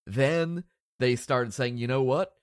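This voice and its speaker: A man's voice: mid-range voice, slow, no room sound, clean studio sound. A man hosting a casual podcast, stuffy and nasal from a cold, rambling and joking at his own expense. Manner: rambling, self-deprecating, joking. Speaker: podcast host.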